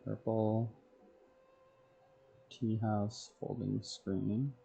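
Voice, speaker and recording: Male game streamer: very slow, halting self-talk, heavy vocal fry. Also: lowish voice, little pitch movement, mellow, muffled recording. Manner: halting, terse, talking to himself. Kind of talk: muttering item names while making a list.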